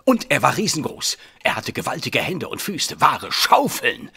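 An eager, easily flustered police inspector in a detective radio play. His pitch swings wildly, and his husky voice is bright and forceful as he blusters along. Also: normal speed, exaggerated voice. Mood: explaining, flustered. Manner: excitable, blustering, stumbling over hard words. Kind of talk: German detective radio drama acting.

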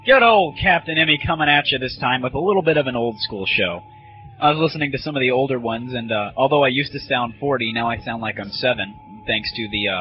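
A man hosting a comedy audio show, talking casually and crudely in a sarcastic, irreverent manner. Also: mid-range voice. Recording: muffled, noisy lo-fi audio.

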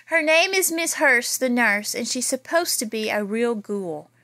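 A woman in her thirties reading a children's picture book aloud in a small bedroom, with lively storytelling and playful asides, recorded on a phone camera nearby. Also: fairly high pitch, unhurried, no echo, clean studio sound. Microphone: phone camera held nearby.

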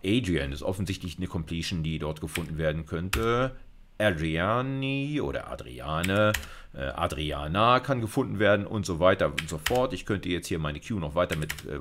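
A man's voice: low-pitched, a little hiss or hum. A middle-aged man giving an informal video lecture, thinking aloud through a live coding demo and dropping in English technical terms. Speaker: university lecturer.

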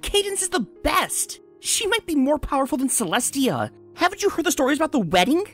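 A woman's voice: high voice, big pitch swings, a little fast, a bit bright, voice pushed up. A woman voicing a bubbly, earnest young female cartoon pony who gushes in a chatty way, with music behind. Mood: gushing, excited. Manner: gushing, conversational.

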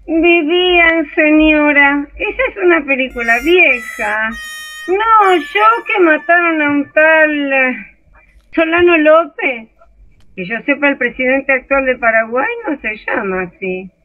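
A performer playing a curious present-day listener who questions the story in a radio drama. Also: female voice, sits high, slow pace, sharp tone, lo-fi sound, exaggerated voice. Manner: inquisitive, doubtful.

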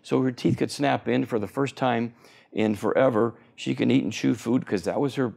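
A man's voice: medium pitch, moderate pace, vocal fry, a little mellow. A man in his sixties, an oral surgeon, being interviewed on a TV set, explaining eagerly with many fillers. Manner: enthusiastic, explanatory, frequent fillers. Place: TV studio.

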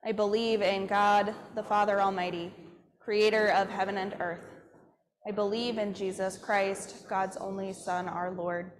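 A woman pastor leading a congregation through a spoken creed in a steady, measured voice, standing at a church altar. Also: mid-range voice. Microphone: far camera. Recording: long church reverb.

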